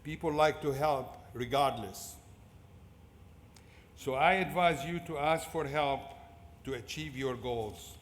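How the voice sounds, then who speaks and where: In a large, reverberant fieldhouse with noise behind him, a middle-aged man delivers a motivational commencement address. He has a Syrian Arabic accent and a medium-pitched voice. He speaks deliberately, breaking his prepared text into short phrases. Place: large indoor fieldhouse at a ceremony. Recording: echoey fieldhouse PA with clear background noise.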